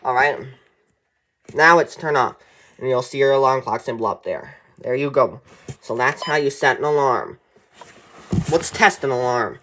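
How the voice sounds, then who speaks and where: A man with a mid-pitched voice talks through a gadget tutorial. There is clear noise and some clicking behind him on the handheld camera. His delivery is informal, folksy and rambling. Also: moderate pace, long pauses, bright, forceful tone. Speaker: tutorial presenter. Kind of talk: casual hands-on gadget tutorial.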